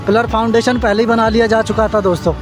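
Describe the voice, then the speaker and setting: Man with a high, flat voice vlogging outdoors. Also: fast, over music. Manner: repetitive, addresses viewers as friends, points things out. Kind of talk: casual walking construction-site vlog. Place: outdoors beside a busy road and flyover construction.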